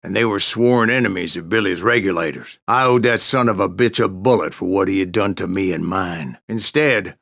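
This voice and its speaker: An actor playing an old Wild West bounty hunter who tells boastful tall tales in a folksy American Western drawl for a video game. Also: male voice, sits low, moderate pace, vocal fry, few pauses, fairly bright tone, no echo, studio quality, exaggerated voice. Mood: gritty, aggrieved. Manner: folksy, salty, cussing storyteller. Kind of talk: theatrical storytelling narration for a western video game.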